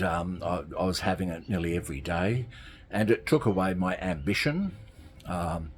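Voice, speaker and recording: Very deep-voiced man in his sixties. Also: slight background noise. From Australia. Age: 60s. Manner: rambling, repeats himself. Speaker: vlogger. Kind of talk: casual monologue to camera. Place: outdoors by a garden.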